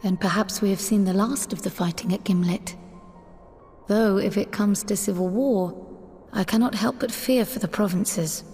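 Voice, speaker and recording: An actress playing an earnest, thoughtful scholar-adventurer in a fantasy game, speaking in a measured, formal, reflective way. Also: female voice, medium pitch, a little mellow, lightly acted. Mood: pensive, concerned. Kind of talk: fantasy game cutscene acting. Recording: long reverb, music behind.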